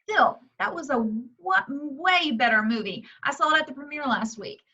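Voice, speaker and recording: A woman acting a sharp, scornful, high-voiced bossy woman. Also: lively intonation, moderate pace, muffled recording, heavily acted. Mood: outraged. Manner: scornful, blunt, emphatic. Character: bossy, sarcastic, foul-mouthed woman in a horror film. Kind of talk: amateur at-home screenplay table reading.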